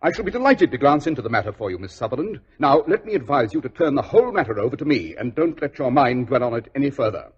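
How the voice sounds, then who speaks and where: An actor playing a brisk, self-assured gentleman detective in a 1940s radio drama, clipped and dryly offhand. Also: mid-range voice, big pitch swings. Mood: courteous, measured. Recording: dull, rough vintage radio recording.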